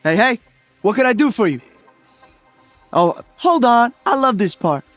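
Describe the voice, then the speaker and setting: A man's voice: very high voice, lively intonation, unhurried, fairly bright tone, no echo, soft background music, full character voice. A man voicing an upbeat, playful young celebrity DJ hero in a video game, joking casually. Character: upbeat young male Brazilian celebrity DJ and freedom fighter.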